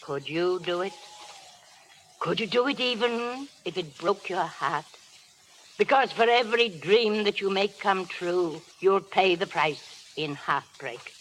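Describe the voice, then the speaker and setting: A woman's voice: on the low side, unhurried, fairly bright tone. An actress playing a feisty, stern but loving old pioneer grandmother in a vintage radio drama, scolding and plainspoken. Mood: firm, loving. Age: older. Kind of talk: 1930s radio drama acting. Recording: noisy crackling vintage radio broadcast.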